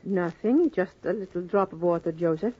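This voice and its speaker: A woman's voice: fairly low pitch, normal speed, breathy edge. An actress playing a devoted, earnest immigrant mother, solemn and formal, in 1940s radio drama style. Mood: doubtful, puzzled. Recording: muffled 1940s radio recording.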